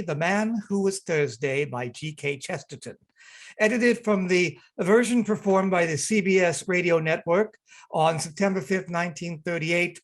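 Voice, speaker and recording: A man hosting an amateur theater reading, giving a scripted announcement. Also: high-pitched. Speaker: host of an amateur theater group. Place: remote reading over a video call.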